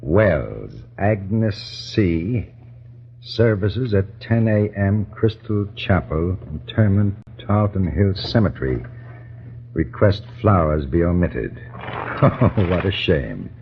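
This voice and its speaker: A sardonic male villain in a vintage radio play. His voice is very deep and sweeps through mocking inflections. The recording is dull, with noise behind him. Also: expressive, takes it slowly, heavily acted. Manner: mocking, smoothly sarcastic. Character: suave, callous, sardonic male villain and blackmailer. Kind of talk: 1940s radio drama acting.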